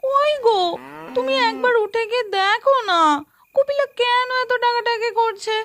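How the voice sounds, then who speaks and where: A woman's voice: very high-pitched, normal speed, noisy background, pitched above the performer's own voice. A woman voicing an anxious, nagging village housewife in a children's cartoon, fretful and coaxing. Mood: worried, coaxing.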